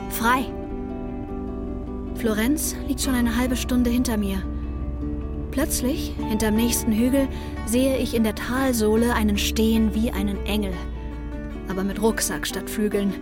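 A woman's voice: fairly high pitch, steady tone, a little slow, pauses often, music in the background, close to an everyday voice. A young woman playing an introspective daughter who narrates her own journey in a reflective, literary radio drama style. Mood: elated, dreamy.

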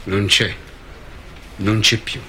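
Dismissive theatre boss, forceful bright voice, lowish pitch, emphatic, faint noise. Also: male voice, flat delivery, takes it slowly. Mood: smugly frank. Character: blunt, condescending male theatre official guarding his clique. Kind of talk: acted comedy sketch dialogue. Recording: played-back clip, faint background noise.

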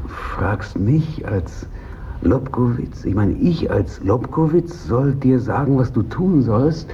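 Exacting mentor with swooping delivery. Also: male voice, mid-range voice, expressive, dark tone, dull audio, slight background noise. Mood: pondering, instructive. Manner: halting, emphatic, drawn-out repetitions. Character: exacting, pompous mentor, theatrically disappointed. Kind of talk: German theatre radio drama.

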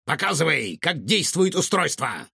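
A man playing a bossy shouting villain doctor. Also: on the high side, very animated, measured pace, vocal fry, breathy edge, dry recording, studio quality, exaggerated voice. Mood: commanding. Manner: barking commands. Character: bossy blaster-waving male villain doctor.

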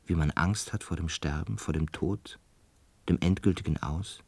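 An actor in a German radio play voicing a calm, introspective lodger in a musing, murmuring manner. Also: male voice, low voice, moderate pace, gravelly, slightly rough, dry recording, full character voice. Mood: brooding. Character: brooding male lodger, former medical student, calm, introspective.

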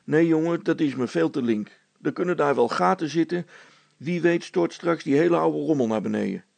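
A man's voice: on the high side, animated, moderate pace, creaky, a little mellow, no room sound, pitched above the performer's own voice, exaggerated voice. A man voicing a practical, cautious middle-aged father and handyman in a storybook, talking in a hearty, colloquial, plain-spoken way. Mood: concerned, firm.

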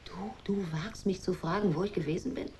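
An actress playing a hurt, accusing woman in a radio drama, speaking hushed and haltingly. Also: lowish voice, slight background noise, natural voice. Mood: hurt reproach. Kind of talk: German radio drama acting.

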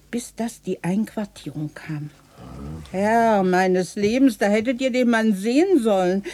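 A woman's voice: mid-range voice, mellow, close to an everyday voice. An actress playing an old widowed townswoman telling of her stubborn husband in a folksy, vivid way in a German radio play. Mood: folksy, reminiscing, then lively, exclaiming. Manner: folksy, unhurried, vivid oral storytelling.

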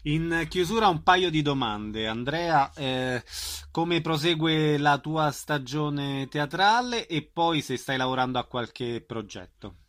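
A man's voice: a little high, lively intonation, slow, a bit bright. A man hosting a radio interview, putting questions to his guest and reading out announcements.

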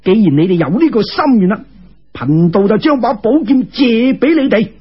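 A man voicing a wise, composed Taoist immortal in a Cantonese folk tale, with a measured, explanatory manner, on a lo-fi recording. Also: high-pitched, expressive, husky. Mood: amused, obliging.

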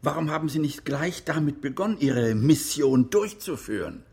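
A probing, sceptical interrogator in a radio drama. The voice is gravelly and rough, with a lively melody. His questioning is formal. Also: a little high, moderate pace, heavily acted.

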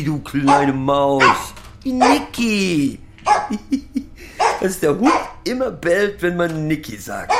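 An actor playing a rambling, proudly sentimental middle-aged pub drinker who lectures and recites poetry in a halting, self-correcting way. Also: male voice, fairly high pitch, heavy background noise. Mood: fussy, excited. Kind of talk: theatrical monologue with halting recitation.

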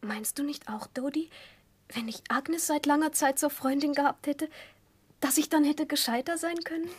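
A woman's voice: sits high, moderate pace, no room sound, close to an everyday voice. An actress playing a childish, playful young woman with a coquettish, naive, half-whispering manner in a 1960s German radio play. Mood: shy, confiding.

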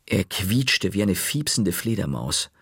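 A man narrating a children's story in the first person, calm, dropping to a whisper in quiet moments. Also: low-pitched, unhurried, slightly rough, no room sound, clean studio sound.

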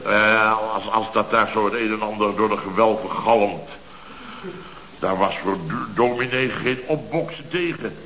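An actor playing a blustering, self-pitying middle-aged building contractor in a Dutch radio comedy, talking in exasperated, halting bursts. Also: male voice, fairly low pitch. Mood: indignant. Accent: Dutch from the Netherlands. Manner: forceful, halting, exasperated storytelling in short bursts. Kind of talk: 1970s radio comedy acting. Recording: muffled old radio recording.

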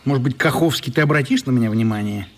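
An actor playing a stern, touchy camp director in a radio play, formal, officious and quick to take offence. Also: male voice, medium pitch, a little hiss or hum, natural voice. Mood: stern, irritated.

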